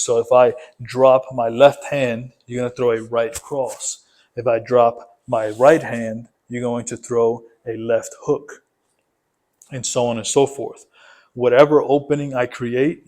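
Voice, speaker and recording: Male, boxing fitness coach, mid-pitched voice, little melodic variation, methodical step-by-step instruction. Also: darkish tone. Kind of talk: instructional workout explanation.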